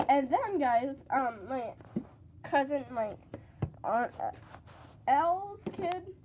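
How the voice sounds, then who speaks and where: A teenage girl vlogging casually with a stuffy, congested voice from a cold, chatty and excitable. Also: sits high, slow pace, noisy background. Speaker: slime vlogger. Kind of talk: casual vlog chat.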